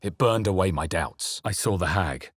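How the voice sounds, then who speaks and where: Brooding fantasy game hero: very low register, grave and measured, dramatic delivery, close dry sound. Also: male voice, lively intonation, husky, no echo. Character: brooding male fantasy adventurer hero, adult, narrating and spellcasting. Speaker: player-character voice actor. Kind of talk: dramatic video game voice lines.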